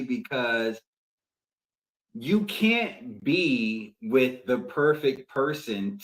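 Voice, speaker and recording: A man with a mid-pitched voice talks as a podcast co-host in a casual conversation. He speaks slowly and reflectively, building each point in deliberate, halting phrases. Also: low-quality recording.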